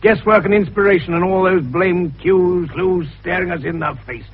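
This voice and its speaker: A man's voice: high-pitched, fairly even intonation, moderate pace, breathy edge. A man playing a jovial, shrewd older British doctor turned detective in 1950s radio drama, avuncular, folksy and teasing. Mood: puffing, expansive. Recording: dull vintage radio recording.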